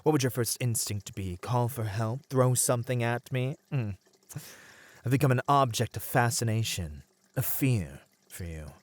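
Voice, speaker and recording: A man's voice: medium pitch, animated, moderate pace, natural voice. A man voicing a proud, bitter, melancholy captive merman in an ASMR roleplay, speaking in formal, eloquent phrases. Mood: challenging, bitter. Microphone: close studio mic, dry.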